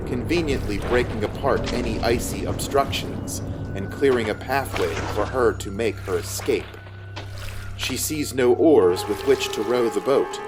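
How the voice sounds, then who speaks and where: A man narrates a fantasy story from a script. His voice sits at a medium pitch, and his delivery is measured and descriptive. Music runs clearly underneath.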